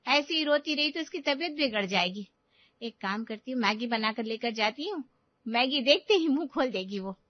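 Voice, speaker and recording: A woman's voice: on the high side, expressive, normal speed, no echo, dull audio, lightly acted. A woman playing a caring middle-aged mother in an audio drama, speaking in a soothing, motherly way. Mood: concerned. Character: caring, reassuring middle-aged Indian mother of two daughters. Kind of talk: Hindi audio drama acting.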